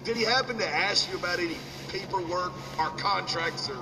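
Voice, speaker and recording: A man's voice: high-pitched, a little fast, background noise. An actor playing a smug, mocking male cowboy businessman in a video game cutscene. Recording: distant echoey game audio.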